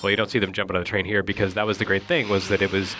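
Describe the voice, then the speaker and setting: A man's voice: deep voice, flat delivery, rapid-fire, sharp tone, slight background noise. A man giving casual, opinionated and wry commentary on video games. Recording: music behind the voice.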